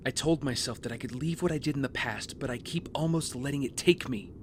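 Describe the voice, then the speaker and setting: A man acting a brooding, guilt-ridden young vigilante hero in an audio drama, speaking in an earnest, measured, confessional way. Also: mid-range voice, a little hiss or hum, close to an everyday voice. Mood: troubled, confessional. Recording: music bed under the voice.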